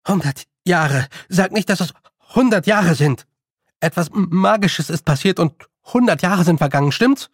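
A man performs a naive young boy for an audiobook. He puts on lordly airs but sounds nervous and hesitant, tripping over words. The voice sits fairly high with a husky grain, recorded close and dry. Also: lively intonation, measured pace, no echo, full character voice. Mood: alarmed. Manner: hesitant, stammering, slightly haughty. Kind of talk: audiobook character voice.

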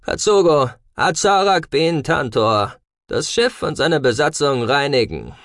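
A man's voice: mid-range voice, moderate pace, gravelly, a bit bright, dry recording, clean studio sound. A man voicing a stern, cruel starship commander in a science fiction audiobook, commanding and menacing. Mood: cold command. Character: stern male starship commander, cruel, possessed by an alien force.

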